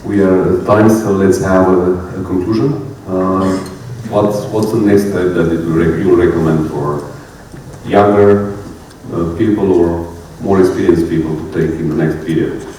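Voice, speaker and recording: A man moderating a conference panel, asking short brisk questions. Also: low-pitched, fairly even intonation, dark tone, a little hiss or hum. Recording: very echoey hall, distant mic, faint ambience.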